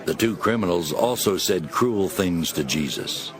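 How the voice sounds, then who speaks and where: Deep, expressive male Bible narrator. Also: unhurried, crowd noise. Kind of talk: dramatized scripted Bible narration. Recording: music behind.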